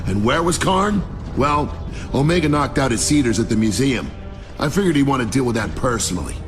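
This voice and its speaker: Resolute soldier squad leader, played by a man, animated. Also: medium pitch, a little fast, close to an everyday voice. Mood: grim, reflective. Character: battle-hardened young male military squad leader, resolute, narrating his testimony. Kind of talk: video game acting, commands and narration. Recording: music behind the voice. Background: music.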